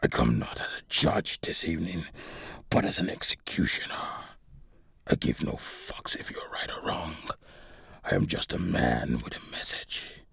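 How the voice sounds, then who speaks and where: A man voicing a cold, cruel gangster executioner in a crime story, muttering menacingly in a breathy whisper. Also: very deep voice, animated, a little slow, bright, forceful tone, dry recording, voice pushed down, full character voice. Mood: cold menace.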